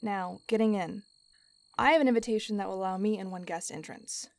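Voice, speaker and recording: A woman's voice: medium pitch, fairly quick, vocal fry, natural voice. A woman acts as the tough leader of a heist crew in an audio drama. Her voice sits in a middle register, curt and commanding, with a sardonic, threatening edge.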